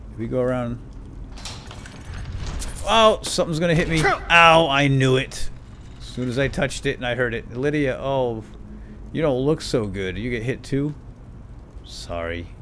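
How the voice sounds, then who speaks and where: A man's voice: mid-range voice, expressive, a little slow, long pauses, a bit bright, a little hiss or hum. A man giving playful, lightly role-played video game commentary, talking to himself and his in-game companions. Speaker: let's player. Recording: game sound effects and ambience behind. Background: video game cave ambience and combat.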